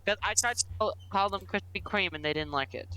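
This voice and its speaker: A man's voice: high voice, a little fast, sharp tone, clean tone, poor audio. A man chatting informally with friends during a game, bantering and swearing. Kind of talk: casual chat among friends during a game.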